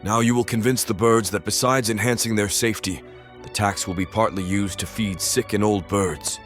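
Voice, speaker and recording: A man's voice: fairly low pitch, steady tone, normal speed, close to an everyday voice. A man playing a pompous, condescending owl politician with a menacing streak in an audio play. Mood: scheming, condescending. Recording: music behind the voice.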